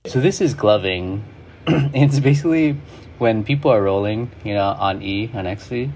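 A man's voice: fairly low pitch, normal speed, darkish tone, a little hiss or hum. A man, a guest in an interview, reflecting in a loose way full of "like" and "you know". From Hawaii.

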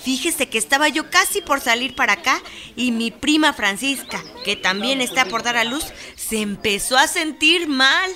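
A woman's voice: high voice, expressive, normal speed, pushed and bright. An actress playing an anxious young pregnant countrywoman with a Mexican accent in a radio drama. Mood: breathless, worried. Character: Esperanza, anxious pregnant countrywoman helping her cousin. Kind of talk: Spanish-language radio drama acting.

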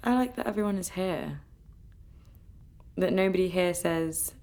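A woman's voice: fairly low pitch, unhurried, darkish tone, lightly acted. A woman with a British accent playing a grieving, sceptical young British-Jamaican in a radio play, guarded and matter-of-fact. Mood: reflective. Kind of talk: naturalistic radio play acting.

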